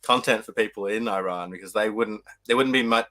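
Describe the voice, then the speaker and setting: A man hosts a casual podcast in a chatty way. His voice is a touch low in pitch, yet it has a bright, forward edge. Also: brisk. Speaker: podcast host. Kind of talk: casual chat.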